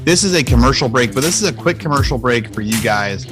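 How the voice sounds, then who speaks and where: A man's voice: medium pitch, lively intonation, quick pace, music in the background. A man hosting a podcast, talking in an informal, chatty, conversational way. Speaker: podcast host. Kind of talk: casual chat.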